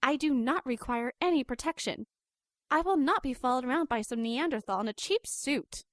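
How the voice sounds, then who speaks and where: A woman playing a haughty, sharp-tongued young 1930s heiress, formal and dismissive, in a noir audio drama. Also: high-pitched, lively intonation, normal speed, dry recording, studio quality. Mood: indignant.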